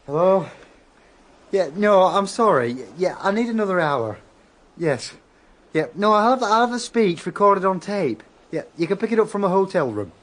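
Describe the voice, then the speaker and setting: A harried male writer worn out by a deadline in a radio drama. He is high-pitched and animated, halting and irritable, over faint background noise. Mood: flustered. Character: harried, weary male speechwriter under deadline.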